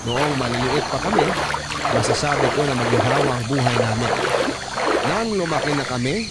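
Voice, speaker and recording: A man's voice: mid-range voice, brisk, heavy background noise, natural voice. A man with a Filipino accent narrating a scripted first-person life story as a radio drama storyteller, over music. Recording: music playing behind the voice.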